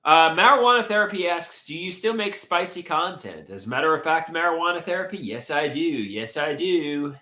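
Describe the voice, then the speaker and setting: A man's voice: a little high, big pitch swings, normal speed, few pauses, sharp tone, echoey room. A man hosting a casual livestream, reading chat comments aloud with a stuffy, congested nose and a rambling, hesitant way of talking. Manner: rambling, hesitant, trails off mid-thought. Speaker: livestream host.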